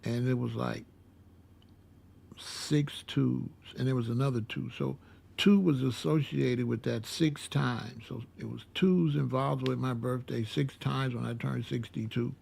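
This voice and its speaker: A man in his sixties telling a personal story in a casual, rambling way, repeating himself and filling pauses with "uh". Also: mid-range voice, steady tone, gravelly, darkish tone, husky. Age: 60s. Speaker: vlogger.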